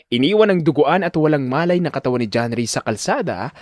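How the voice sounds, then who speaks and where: A man's voice: fairly high pitch, animated, brisk, dry recording, clean studio sound. A man narrating a scripted audio drama in a suspenseful, theatrical manner. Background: music.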